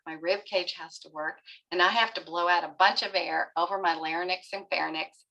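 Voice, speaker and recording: A woman's voice: on the low side, sharp tone, low-quality recording. A middle-aged woman therapist giving a webinar lecture to parents, explaining step by step and often checking in with "right?". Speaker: pediatric therapist presenting a webinar.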